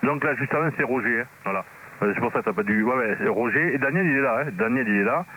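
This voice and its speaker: A man's voice: medium pitch, quick pace, pushed and bright. A man chatting casually as an amateur radio operator, repeating himself and peppering his talk with little verbal tags. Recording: noisy two-way radio with hum.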